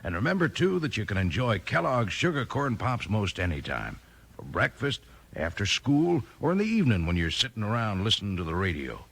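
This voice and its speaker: An actor playing a folksy cowboy pitchman in a 1950s radio advertisement, husky and enthusiastic as he sells to children. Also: big pitch swings, fairly quick, a bit bright, exaggerated voice. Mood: upbeat, folksy. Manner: folksy, bouncy sales talk.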